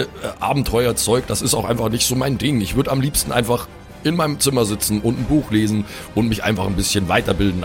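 A man's voice: lowish voice, close to an everyday voice. A man playing a put-upon, bookish grown son who is a devout fantasy cleric, earnest, whiny and self-justifying, in an improvised audio play.

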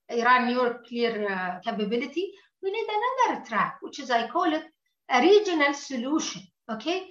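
Woman, political analyst, formal measured delivery, high voice, roomy and dull-sounding remote line. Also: a bit bright. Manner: measured, formal policy talk. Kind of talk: remote interview answer.

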